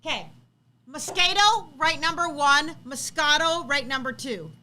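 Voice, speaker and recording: A chatty, animated woman co-hosting a live stream, bantering casually and teasingly with viewers. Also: high-pitched, unhurried, a little hiss or hum.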